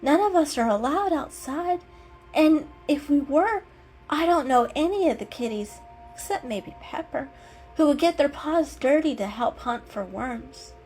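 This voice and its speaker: A woman voicing a friendly, bookish female house cat in a storybook reading, with an eager, warm and inquisitive manner. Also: sits high, lively intonation, unhurried, soft background music, pitched above the performer's own voice, poor audio. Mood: confused, questioning.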